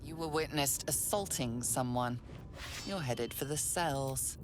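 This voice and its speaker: A woman voicing a stern, measured character in a video game. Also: a bass voice. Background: loud music.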